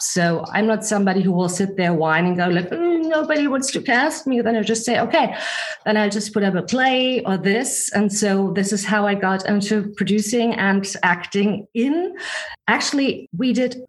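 Woman in her 40s, actress chatting in an interview, expressive, keeps restarting her sentences. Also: medium pitch, normal speed. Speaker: actress and producer. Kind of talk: interview guest.

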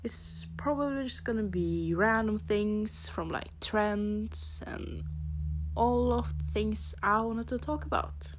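A young woman from Norway with a Norwegian accent, hosting a casual podcast, rambling in a halting way with fillers and soft laughs. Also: medium pitch, noisy background. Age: teens or young adult. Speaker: podcast host.

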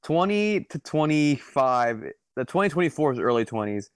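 A man in his twenties in casual conversation, easygoing, with halting phrasing as he disagrees with friends. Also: a little high, sing-song, clear, resonant tone. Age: 20s.

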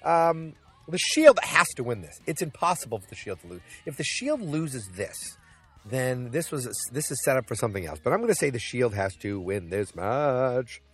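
A man's voice: medium pitch, very animated, normal speed, husky, faint music behind. A man hosting his own podcast, rambling through picks with jokes, asides and lots of filler words. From New England. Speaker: podcast host. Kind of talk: casual solo wrestling podcast. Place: Boston.

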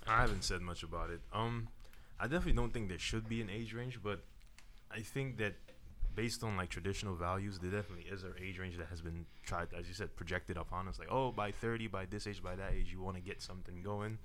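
A man with a low, forceful voice, sharp at the edges. He shares opinions casually and reflectively in a group discussion, over a slight background noise. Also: steady tone, fast. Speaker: panelist on a talk show.

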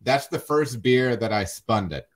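A man's voice: medium pitch, expressive, takes it slowly. A middle-aged man hosting a podcast, talking casually and thinking aloud, often repeating words. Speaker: podcast host. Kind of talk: casual chat.

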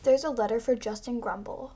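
A woman's voice: on the high side, fairly even intonation, a little fast, warm tone, close to an everyday voice. A woman acting grumbling, chatty elderly characters in an amateur comedy radio drama. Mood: plain.

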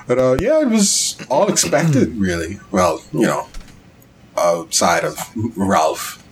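A man's voice: sits low, slow pace, slight background noise. A man co-hosting a casual podcast, joking and acting out mock quotes as he talks. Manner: animated, jokey, acts out mock quotes. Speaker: podcast co-host. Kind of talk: casual chat.

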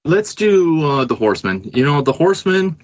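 A man's voice: on the high side, sing-song, moderate pace, no echo, highs cut off. A man chatting casually as a musician guest on a podcast over a remote call. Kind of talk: casual chat. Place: remote Skype call from Canada.

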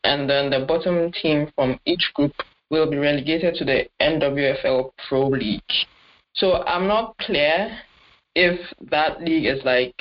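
A podcast co-host chats casually and hesitantly, often stopping to correct themselves. The voice sits at a middling pitch. The recording is dull and lo-fi. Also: a little slow. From not Nigerian. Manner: chatty, hesitant, self-correcting. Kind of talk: casual sports chat. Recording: dull lo-fi audio.

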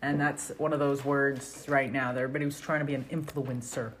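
A woman's voice: a bass voice, flat delivery, a little fast. A middle-aged woman hosting a casual family scripture discussion at home, asking questions and prompting her kids. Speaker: podcast host and parent. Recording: roomy home recording with background noise.

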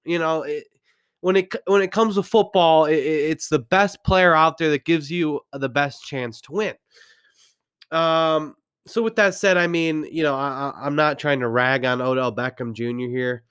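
A man with a slightly high voice and a stuffy nose from a cold rants through a football recap. He stumbles over his words and restarts often, but he never lets go of his opinions. His voice is close and dry, with no room sound around it. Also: normal speed, fairly bright tone, smooth, no echo. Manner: opinionated, rambling, frequent restarts. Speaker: sports commentator. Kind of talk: ranting sports recap.

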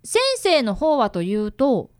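A polite young boy detective, acted by a woman, high and very animated, recorded close and dry. He explains things earnestly in a youthful radio-drama manner. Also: measured pace, smooth, dry recording. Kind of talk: radio drama acting with first-person narration.

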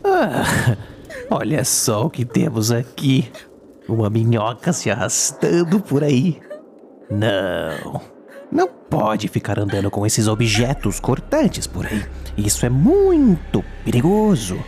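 A sadistic Brazilian clown villain for an audio horror drama. He swoops up and down in a slow, mock-gentle sing-song, taunting as he goes. The recording is clearly noisy. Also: mid-range voice, very animated. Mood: playful menace. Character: sadistic killer clown, mockingly playful male villain.

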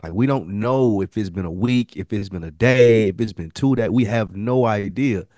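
Slightly low-voiced man, dry sound. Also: moderate pace, dark tone, no room sound. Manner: slangy, explanatory, emphatic. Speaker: podcast co-host. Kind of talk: casual analytical TV discussion.

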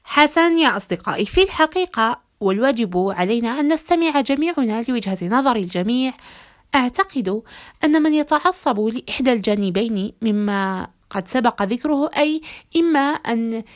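A woman hosting a podcast, addressing listeners in formal Arabic with a measured, rhetorical delivery and a slightly hoarse voice. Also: on the high side, lively intonation. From Algeria. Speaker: podcast host.

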